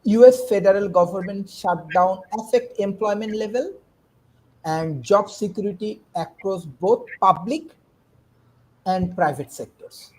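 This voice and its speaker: A man's voice: high voice, unhurried, darkish tone, rough recording. A man from Bangladesh with a Bangladeshi accent, a lecturer earnestly asking questions in a forum discussion.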